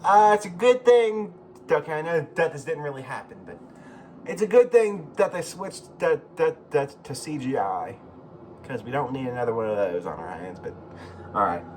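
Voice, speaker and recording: A man giving casual, rambling video commentary as a host, halting and repeating himself, with informal, sweary phrasing. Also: fairly high pitch, expressive, a little mellow, slight background noise. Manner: profane, informal. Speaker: YouTube host.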